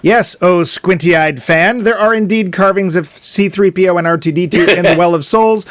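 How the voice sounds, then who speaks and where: A man's voice: high-pitched, normal speed, dry recording. A man co-hosting a movie podcast in casual, jokey chat, laughing often. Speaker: podcast co-host.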